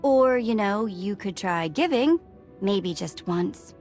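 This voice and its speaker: A woman's voice: medium pitch, expressive, slow, a little mellow, faint music behind. An actress playing a spirited, principled young alien woman with a wry manner in a game cutscene. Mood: earnest reproach. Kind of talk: video game cutscene acting.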